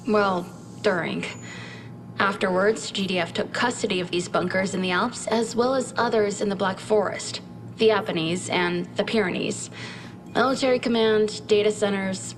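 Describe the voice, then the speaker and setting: An actress playing a brisk, dry-witted military scientist, giving a mission briefing in a video game with a crisp, matter-of-fact delivery. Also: female voice, medium pitch, noisy background, close to an everyday voice. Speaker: military research officer briefing a commander.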